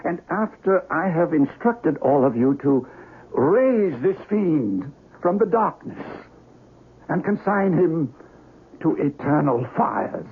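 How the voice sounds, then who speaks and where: A man's voice: fairly high pitch, sing-song, slow, heavily acted. A man playing a grave doctor of the occult in a radio horror drama, speaking theatrically and solemnly. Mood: grave, ominous. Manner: grave, theatrical, archaic incantations. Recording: noisy, tinny old radio broadcast.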